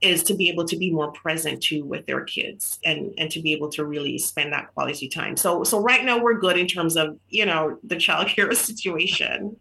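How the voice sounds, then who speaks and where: Woman, middle-aged, from Jamaica, bright tone, lowish pitch, chatty, many restarts. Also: normal speed, vocal fry, few pauses, low-quality recording. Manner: chatty, warm storytelling with frequent fillers and restarts. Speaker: guest author. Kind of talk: casual podcast interview.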